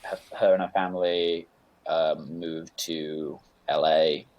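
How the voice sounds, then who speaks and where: A young man guesting on an interview podcast, talking in a relaxed, rambling way with lots of fillers and restarts. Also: a bass voice, slow, dull audio. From United Kingdom. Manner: rambling, laid-back, lots of fillers and self-corrections. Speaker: guest, music producer. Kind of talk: casual interview chat.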